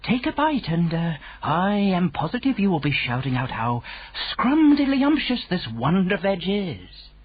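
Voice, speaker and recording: A woman voicing a kindly, timid male giant who coaxes gently in jumbled made-up words. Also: lowish voice, big pitch swings, measured pace, husky, dull audio, full character voice. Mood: coaxing.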